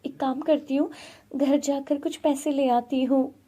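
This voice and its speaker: A woman's voice: high voice, steady tone, a little slow, mellow. A woman voicing a worried, dutiful young village wife in an earnest, anxious story style.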